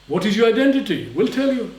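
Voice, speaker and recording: A man's voice: high voice, sing-song, fast, darkish tone. Emphatic man lecturing in a hall. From Israel. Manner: emphatic, speaks in short phrases, acts out voices. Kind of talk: live lecture with interpreter. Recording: echoey hall, voice from far off.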